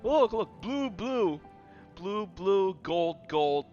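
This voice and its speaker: A man giving casual gameplay commentary in a halting, rambling way, often repeating his phrases. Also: high-pitched, animated, darkish tone, muffled recording, soft background music. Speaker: gamer commenting on his own play.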